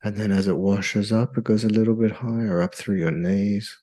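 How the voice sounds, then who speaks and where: A man leading a guided meditation in a soothing, hypnotic, measured way with long pauses. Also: fairly low pitch, fairly even intonation, mellow.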